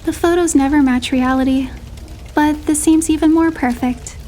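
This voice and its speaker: A woman narrating a romantic first-person story in a measured, expressive storytelling manner. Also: sits high, a little hiss or hum. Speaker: narrator and heroine voice.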